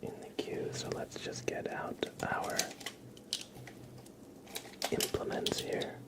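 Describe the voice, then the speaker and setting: A man hosting an ASMR livestream, chatting casually and gently with viewers in a soft, breathy, mostly whispered voice. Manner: soft-spoken, gentle, unhurried.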